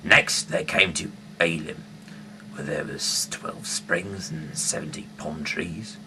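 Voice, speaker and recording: A man with a deep, often hushed voice reads scripture aloud informally, with halting, casual asides. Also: fairly even intonation. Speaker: host reading the Bible aloud. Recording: noisy amateur recording with hum.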